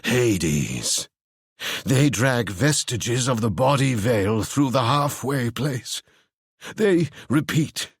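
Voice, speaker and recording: A man's voice: medium pitch, lively intonation, husky, no echo, clean studio sound. A man doing a haunted, mumbling man. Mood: muttering. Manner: slow, hushed, distant, mumbling. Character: haunted, frightened man muttering dark secrets. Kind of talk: audiobook character voice.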